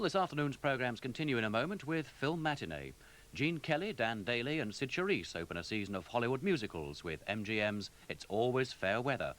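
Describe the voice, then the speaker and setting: A man's voice: medium pitch, fairly bright tone. A man with a Received Pronunciation British accent delivers polished, scripted television continuity announcements in a formal manner.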